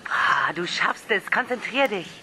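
An actress playing an adoring young woman who cheers her sweetheart on in excited, cooing encouragement. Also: medium pitch, measured pace, slight background noise. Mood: cheering, cooing. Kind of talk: radio play acting.

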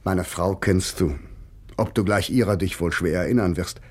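Middle-aged man of letters, low rough voice, ironic, grieving, measured radio letter reading. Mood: earnest, tender.

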